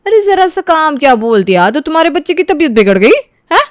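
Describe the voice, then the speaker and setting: A woman's voice: very animated, a little fast, dry recording, studio quality. A woman voicing a haughty, short-tempered young housewife who nags and scolds her maid in a dramatised moral story. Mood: bossy, curt. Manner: shrill, haughty, nagging.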